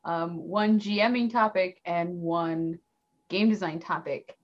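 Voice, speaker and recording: A woman's voice: fairly low pitch, steady tone, takes it slowly, dull audio. A woman hosting a podcast about tabletop games, chatting casually and laughing often. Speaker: podcast host. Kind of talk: casual chat.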